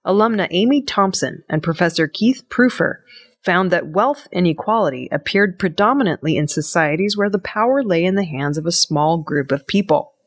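Woman reading scripted news. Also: lowish voice. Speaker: news reader.

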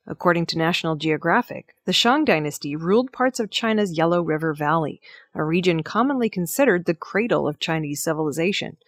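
Female news reader, slightly low register, scripted narration, dry sound with no room. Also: lively intonation, fairly quick, no echo.

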